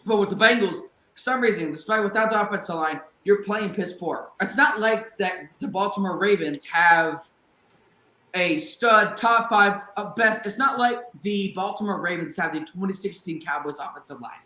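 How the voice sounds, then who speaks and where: A man co-hosting a sports talk show, ranting with fired-up energy and breaking into shouts. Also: high-pitched, normal speed, reverberant. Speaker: podcast co-host.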